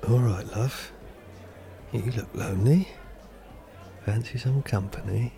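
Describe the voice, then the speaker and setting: A man acting in an audio drama as a lecherous, pushy man in a pub, with a gravelly voice. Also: medium pitch, slow pace, mellow. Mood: leering.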